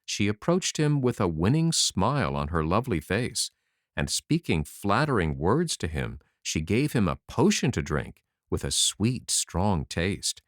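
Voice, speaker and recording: A man narrating a fairy tale as an audiobook, in an expressive storytelling style. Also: deep voice, a little slow, dry recording, studio quality.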